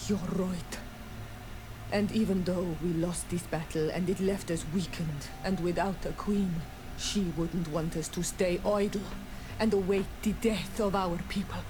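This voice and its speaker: A woman playing a proud, solemn young island clan warrior leader in a fantasy video game, speaking gravely and deliberately. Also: fairly low pitch, steady tone, background noise, close to an everyday voice. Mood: grave, weary. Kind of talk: scripted video game dialogue acting.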